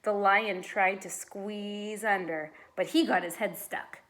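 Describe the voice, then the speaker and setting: A woman narrating a children's storybook like a teacher reading aloud, with dramatic, deliberate emphasis. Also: medium pitch, fairly even intonation, fairly bright tone.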